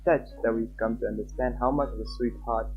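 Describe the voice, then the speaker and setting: A man's voice: mid-range voice, fairly even intonation, a little fast. Dull-sounding young male streamer, casual. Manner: chatty, loose, repeats himself. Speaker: livestreamer, host. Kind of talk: casual livestream chat while reading visual novel text aloud. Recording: dull lo-fi mic with background music. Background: game background music.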